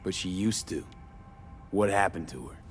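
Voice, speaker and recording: A man's voice: deep voice, expressive, normal speed, soft background music. An actor playing a tough, blunt male mercenary in a video game, terse, pushy and skeptical. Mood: bargaining, firm. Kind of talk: video game dialogue acting.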